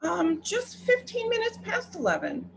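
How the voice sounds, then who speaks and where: A woman's voice: very high voice, wildly swooping pitch, unhurried, gravelly, heavy background noise, exaggerated voice. A woman playing a courteous, businesslike telephone clerk with a formal, officious manner in a radio play. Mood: flustered, courteous. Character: polite, businesslike female telephone clerk, brisk and unruffled.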